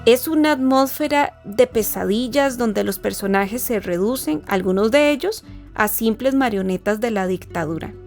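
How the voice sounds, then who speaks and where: A woman giving a scripted, formal, didactic narration as presenter of an educational literature programme. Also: a little high. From Costa Rica. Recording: voice over background music. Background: music.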